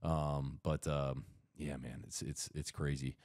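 A man's voice: a bass voice, level tone, slow, a little mellow, no echo. A man in his thirties, co-hosting a podcast in a studio, laid-back and swearing casually. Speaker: podcast co-host. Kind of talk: casual chat. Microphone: headphones and boom mic.

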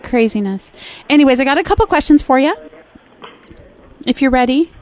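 A woman's voice: sits high, expressive, normal speed. A woman co-host making a prank call in a sugary, teasing manner, calling the other person honey and sweetheart.

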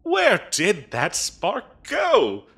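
A man's voice: high voice, wildly swooping pitch, very slow, creaky, pushed and bright, breathy edge, heavily acted. A man playing a fussy, bureaucratic demon interviewer in a comedic audio roleplay, officious and chatty with hesitant, dryly polite phrasing. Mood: urging, disappointed. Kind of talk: comedic audio roleplay acting, addressing the listener.

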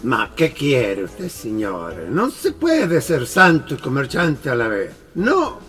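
A jolly, worldly-wise Italian grocer in a radio drama. His Italian-accented speech is unhurried and swings widely in pitch as he scolds slyly and good-naturedly. Faint music plays behind him. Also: huge pitch swings, fairly bright tone, full character voice. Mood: teasing, advising.

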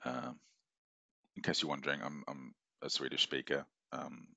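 A man's voice: very deep voice, sing-song, moderate pace, creaky, bright, forceful tone, no echo. A middle-aged man giving a recorded university lecture from his office over a webcam, rambling with many fillers and restarts. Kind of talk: recorded lecture walking through a syllabus. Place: office at a desk, screen-sharing.

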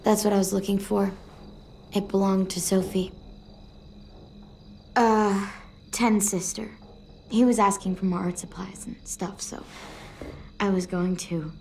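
A woman's voice: mid-range voice, steady tone, a little slow, vocal fry, pauses often, a little mellow, a little hiss or hum. Hesitant, wistful teenage girl. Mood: awkward. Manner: hesitant, casual, slangy. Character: wistful, awkward, tough teenage girl survivor. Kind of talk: video game drama dialogue.

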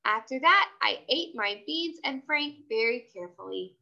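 A woman reading a children's story aloud, expressively and in a childlike, animated manner. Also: fairly high pitch, moderate pace, creaky, dull audio.

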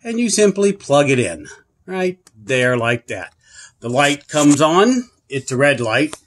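A man's voice: fairly high pitch, very animated, slow pace. A man giving a casual product review and demonstration in a plain-spoken, earnest way, repeating himself for emphasis. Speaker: product reviewer and channel host. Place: carpeted room indoors.

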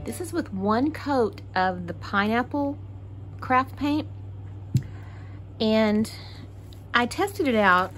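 A woman's voice: a little high, lively intonation, slow, pauses often, a bit bright, faint music behind. A woman from Texas hosting a casual crafting tutorial, chatty and thinking aloud as she works. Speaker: craft channel host.

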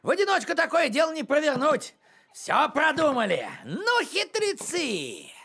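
A man voicing a suspicious, cranky middle-aged village postman in a cartoon, accusing and blustering in a creaky voice. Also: very high-pitched, wildly swooping pitch, unhurried, bright, forceful tone, full character voice. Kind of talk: animated cartoon dialogue. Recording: music behind the voice.

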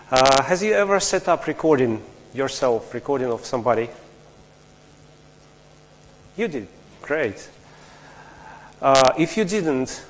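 A man gives a technical talk in a large lecture hall, his voice carrying a long reverb tail. He explains things step by step, often restarting phrases, and turns to the audience with questions. A faint hum sits beneath the room sound. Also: mid-range voice. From Russia. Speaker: conference speaker.

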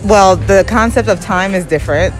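A woman's voice: mid-range voice, normal speed. A woman from Barbados, an entrepreneur, answering questions in an upbeat, chatty way, full of "you know.". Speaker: interviewee, entrepreneur. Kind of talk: casual chat. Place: clothing store indoors. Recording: music playing behind.

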